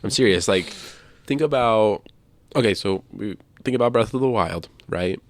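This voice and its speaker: A man with a low voice talks casually as a podcast co-host, recorded dry with very little room sound. He stresses his points and often restates them for emphasis. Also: normal speed, no room sound. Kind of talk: casual discussion of video games.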